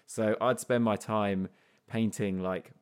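A man with a notably low voice, chatting as an artist guest in an easygoing, unhurried way. He reflects as he goes, often restarting his sentences. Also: fairly even intonation, clear, resonant tone. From grew up in Portugal, then England. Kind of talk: casual conversational interview.

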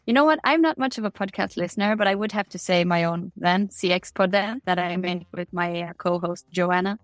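A woman's voice: fairly low pitch, brisk, no echo, faint music behind. A woman from Norway with a Norwegian accent, answering interview questions in a candid, chatty way. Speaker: interview guest.

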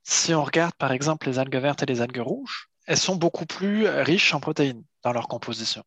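A man's voice: mid-range voice, fairly quick, fairly bright tone, dry recording. A middle-aged man from France answering interview questions, explaining at length and often repeating words as he finds them. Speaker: seaweed harvester and entrepreneur.

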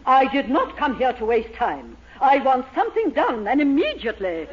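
An actress playing a huffy, demanding married neighbor in a 1940s radio sitcom. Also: female voice, sits high, big pitch swings, normal speed, crowd noise. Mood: indignant. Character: indignant, stern married neighbor woman. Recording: dull 1940s radio broadcast.

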